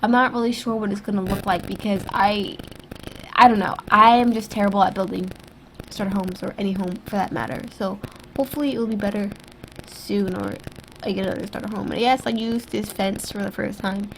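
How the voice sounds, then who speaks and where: A woman's voice: mid-range voice, steady tone, fairly quick, fairly bright tone, slight background noise, poor audio. A young woman whose voice sounds stuffy and throaty after being sick, casually commenting over her video in a rambling, self-critical way with lots of fillers. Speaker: YouTube gaming creator. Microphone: USB desktop microphone.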